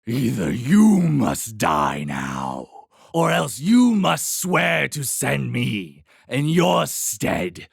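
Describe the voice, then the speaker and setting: A man voicing a hideous, wrathful beast in a storybook, with slow, drawn-out, menacing threats. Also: mid-range voice, wildly swooping pitch, creaky, breathy edge, dry recording, clean studio sound, voice pushed up, full character voice. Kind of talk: dramatic storybook character voice.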